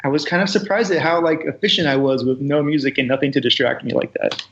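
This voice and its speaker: A man's voice: medium pitch, fairly even intonation, fast, gravelly. A man chatting casually as a co-host on a hobby podcast. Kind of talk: casual chat. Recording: slightly dull lo-fi audio.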